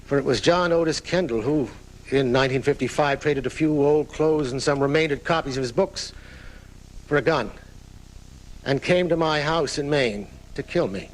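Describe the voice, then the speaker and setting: A man's voice: fairly high pitch, moderate pace, close to an everyday voice. An actor playing an aging famous writer in a live radio drama, telling his story in a florid, dramatic way. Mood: grandly reminiscing. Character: aging famous male writer recounting his own murder, theatrical. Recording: noisy 1980s off-air radio recording.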